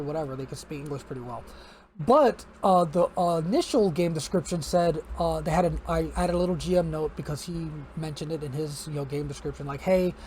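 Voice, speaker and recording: The high-pitched, keen guy co-hosting a hobby livestream late in the evening, chatting away casually with some faint noise in the room behind him. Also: darkish tone, low-quality recording. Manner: enthusiastic, repeats words for emphasis. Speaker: co-host of a gaming community stream. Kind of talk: casual chat.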